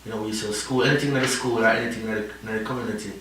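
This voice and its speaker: A man's voice: on the low side, fairly even intonation, racing, a bit bright. A Jamaican man talking through his story in a rambling, easygoing way, often adding "you know?". His voice sits far from the mic in a very echoey room, with a faint hiss of noise behind it. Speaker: reggae artist guest. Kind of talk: casual interview talk.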